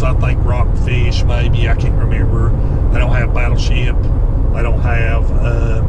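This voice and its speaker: A man, vlogging in plain, unhurried talk with lots of "uh"s, filmed on an action camera in his car and his yard. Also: medium pitch. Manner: slow, plainspoken, many fillers. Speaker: fishing kayak vlogger. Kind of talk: casual vlog talk. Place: in a car while driving, later outdoors in a yard. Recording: loud road and outdoor noise. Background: car and outdoor ambience.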